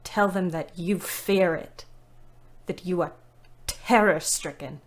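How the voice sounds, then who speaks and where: A woman's voice: lowish voice, slow pace. An actress playing a young ambulance driver in an audio play, narrating her war diary with weary bitterness. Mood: bitter. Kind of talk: dramatic first-person audio play narration. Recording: clear background noise.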